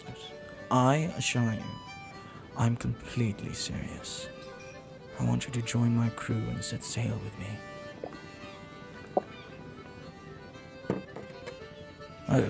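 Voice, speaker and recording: A man playing a flirty, earnest pirate captain in an intimate audio roleplay, speaking softly and haltingly with tender devotion. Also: medium pitch, gravelly. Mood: sincere, hopeful. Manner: soft, halting, tender, sometimes near whisper.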